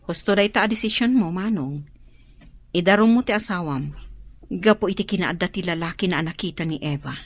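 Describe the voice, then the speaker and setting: An earnest, worried younger sister. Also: female voice, lowish voice, brisk. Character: worried woman caring for her older brother. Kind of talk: radio drama acting.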